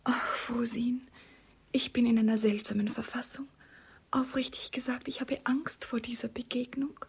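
Very breathy young lady. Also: a little high, steady tone, normal speed. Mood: anxious. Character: anxious, refined young woman courted against her will. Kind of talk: classic comedy radio play acting.

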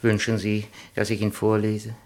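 An actor playing a meek, earnest, zealously dutiful citizen and abandoned husband in a 1960s German radio play, with a deferential, eager manner. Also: male voice, lowish voice, completely flat delivery, moderate pace, darkish tone, lightly acted. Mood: wistful, earnest.

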